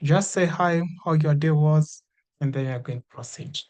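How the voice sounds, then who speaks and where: Man hosting an online meeting, dark tone. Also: fairly high pitch, normal speed. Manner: encouraging, repeats invitations, many "uh" fillers. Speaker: meeting host. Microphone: video call.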